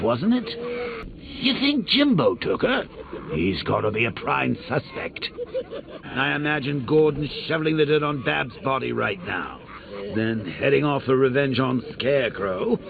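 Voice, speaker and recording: A man's voice: on the high side, vocal fry, full character voice. An actor playing a sadistic, cackling male clown villain who taunts the hero with theatrical mockery in video game voice lines. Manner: mocking, theatrical, sing-song taunts and cackles. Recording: tinny lo-fi game audio with loud noise.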